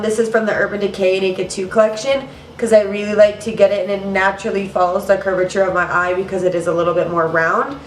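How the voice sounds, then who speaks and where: Young woman, nasal and stuffy, chatty makeup tutorial, roomy sound, mic set back, faint hiss. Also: medium pitch. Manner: chatty, casual, says "girl" and "you guys". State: congested, nasal from allergies. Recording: roomy, mic at some distance.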